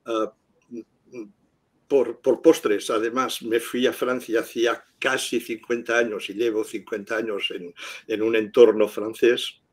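Older man, unhurried, hesitant, video call from home. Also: fairly low pitch, little pitch movement, breathy edge. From Catalonia, Spain. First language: Catalan. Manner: unhurried, hesitant with many fillers. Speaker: guest scientist. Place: home room indoors. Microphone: video call webcam.

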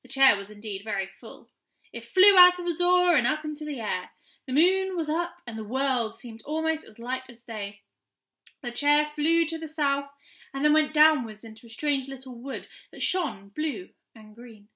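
A young woman reading a children's story aloud in an expressive storytelling style. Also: high-pitched, normal speed. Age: young adult. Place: small room at home, indoors.

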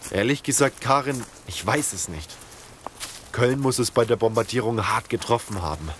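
A man playing a calm, strategic leader of survivors who explains things in a measured way in an audio drama. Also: mid-range voice, slight background noise, lightly acted. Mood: earnest, calm.

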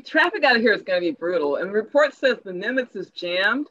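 A woman's voice: medium pitch, animated, fast talker, bright, forceful tone, muffled recording. A woman playing an earnest, forward church organizer in an online play reading over a video call. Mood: eager, proud. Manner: eager, proselytizing. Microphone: video call microphone.

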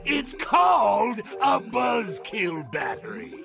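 An actor playing a big, gleeful evil circus clown villain in a cartoon game, theatrical and taunting with a gravelly voice. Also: male voice, sits high, huge pitch swings, shouting, heavily acted. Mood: menacing glee. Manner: taunting, hammy, drawn-out delivery. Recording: music behind the voice.

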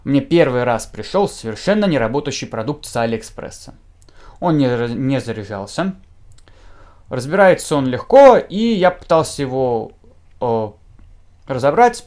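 A young man reviews gadgets in an informal way, speaking at an average pitch. His intonation moves up and down a lot, and he sometimes stumbles on a word and restarts it. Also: sing-song, moderate pace, darkish tone. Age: young adult. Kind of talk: informal product review to camera. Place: small indoor room, seated at a table.

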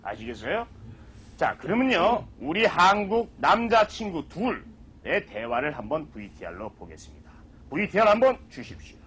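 A man on a comedy stage giving a mock lecture, drawing out his words slowly for emphasis. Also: on the high side, very animated, a bit bright, slightly rough. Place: stage with live audience.

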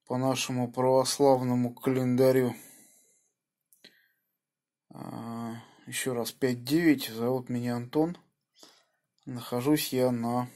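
Man, slow unhurried delivery, mid-pitched, casual ham radio operator. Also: a little mellow. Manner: spells call signs with phonetic names. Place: indoors at a home radio desk.

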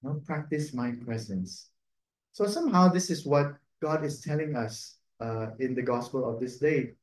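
A man, a priest and native Cantonese speaker, giving a gentle, conversational reflection on the Sunday readings, often adding a questioning "no?". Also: mid-range voice, animated, moderate pace. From Cantonese-speaking region. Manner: gentle, conversational, tag "no?" and soft laughs. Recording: echoey room.

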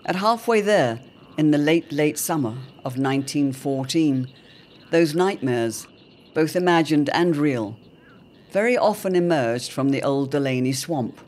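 A man narrating an audio drama serial in a measured, portentous, dramatic storytelling style. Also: a little high, expressive, darkish tone. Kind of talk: dramatic scripted narration, old radio serial. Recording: clear background ambient noise.

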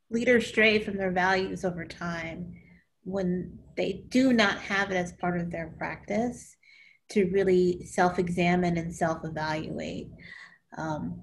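A woman in her thirties, a leadership coach, speaking candidly and animatedly on a video call from her home, sometimes trailing off softly. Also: on the low side, creaky, slight background noise. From born in Okinawa, grew up in California. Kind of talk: panel discussion. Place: small home room indoors. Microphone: laptop camera on video call.

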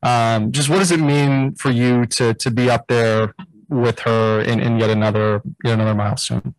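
Man, sports reporter, mid-pitched voice, halting and rambling questions, little room echo. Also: fairly even intonation. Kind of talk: press conference questioning. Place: indoor press conference room.

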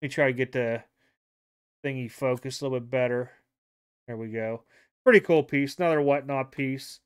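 A chatty man rambles through his recent card pickups, stopping often to correct his own dates and details. His voice is smooth and clear, sitting in the middle range for a man. He talks into a desk mic in a small home room. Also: mid-range voice, normal speed. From Ohio. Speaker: card dealer and vlogger. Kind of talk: casual vlog showing trading cards. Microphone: desk mic, off camera.

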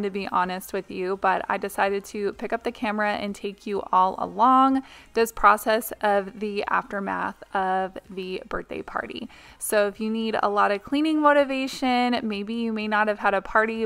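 A woman's voice: medium pitch, vocal fry, a bit bright, smooth. A woman in her thirties, a mother and home vlogger, chatting through a cleaning video voice-over in a confiding, casual way with little ums.

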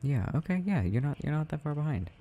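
A man playing a tender, doting boyfriend in an intimate, rambling ASMR roleplay, murmuring softly and affectionately with gentle teasing. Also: fairly high pitch, big pitch swings, fast, lo-fi sound. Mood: relaxed, gently rambling. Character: tender, doting boyfriend soothing his sleepy partner at night.

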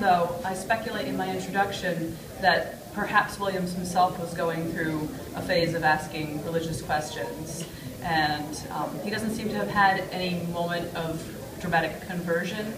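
A woman in her thirties answering audience questions in an articulate, enthusiastic, off-the-cuff academic style. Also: lowish voice. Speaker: lecturer taking audience questions. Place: hotel conference room. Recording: roomy, distant mic, audience noise.

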